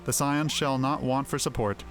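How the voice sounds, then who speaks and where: Fantasy game seeress, male reader: mid-pitched, stately, gracious, archaic wording, faint music beneath. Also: steady tone, close to an everyday voice. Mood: solemn, gracious. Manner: formal, archaic, measured phrasing. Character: solemn, gracious female elder seer of a forest realm. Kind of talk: amateur voicing of fantasy game dialogue.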